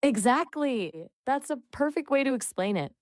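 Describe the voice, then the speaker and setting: A woman coaching English conversation, patient and full of praise, explaining word choices clearly. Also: sits high, animated, moderate pace, dry recording, clean studio sound. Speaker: English tutor. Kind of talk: encouraging language coaching.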